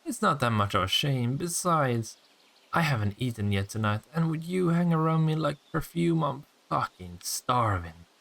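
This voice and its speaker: A man's voice: medium pitch, lively intonation, vocal fry, fairly bright tone. Man playing a smug, teasing vampire, swooping intonation. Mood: hungry, smug. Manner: sardonic, teasing, swearing, drawn-out taunts. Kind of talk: intimate audio roleplay acting.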